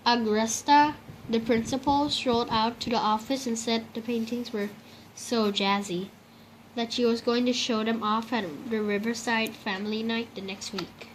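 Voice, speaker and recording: Female storybook reader, higher-pitched voice, children's narration, some noise behind the voice. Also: unhurried, pauses often, low-quality recording. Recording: clear steady background noise.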